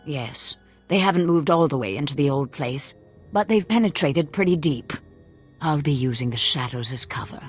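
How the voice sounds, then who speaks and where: Game drama role: wary, pleading middle-aged woman, stubborn and lovelorn, husky, slightly low. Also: lively intonation, moderate pace, soft background music. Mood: wary, hesitant. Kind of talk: dramatic video game cutscene acting.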